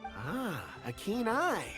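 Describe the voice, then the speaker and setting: A man's voice: sits high, huge pitch swings, slow, music in the background, exaggerated voice. A man voicing a polite, earnest young rock expert in a video game, eager and eloquent. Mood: impressed, rhapsodic. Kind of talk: video game character acting.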